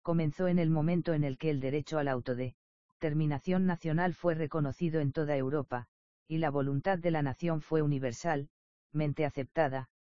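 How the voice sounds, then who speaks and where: A man's voice: fairly high pitch, brisk, no room sound. Man reading a book, dull dry sound. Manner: even, steady reading that voices page numbers and footnote marks. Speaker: reader of an academic book. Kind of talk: reading nonfiction text aloud.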